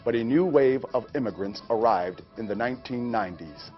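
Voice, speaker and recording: A man delivers scripted narration in a mid-pitched voice, as a chaplain presenting a training film. The sound is dull and lo-fi, with faint music behind him. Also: lively intonation, fairly quick. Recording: dull, lo-fi training video audio.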